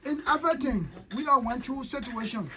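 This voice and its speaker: High-voiced Liberian man, loud and animated. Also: brisk. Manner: loud, animated, laughing, repeats himself. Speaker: guest speaker from Dallas. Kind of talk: excited, joking speech. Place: outdoor community barbecue. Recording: noisy crowd all around. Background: crowd chatter.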